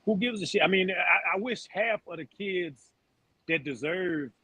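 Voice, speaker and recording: A man's voice: sits high, a little slow, bright, forceful tone, slightly rough, dry recording. An American man speaking as a sports panelist, blunt and slangy, often restarting his phrases in casual debate. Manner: repeats starts, blunt slangy talk.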